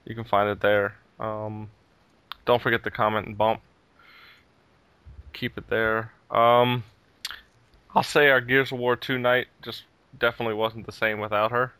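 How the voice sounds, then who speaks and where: A man's voice: lowish voice, level tone, measured pace, long pauses, pushed and bright, clear, resonant tone, no echo. A man hosting a casual gaming podcast, chatting easily and chuckling between remarks. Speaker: podcast host.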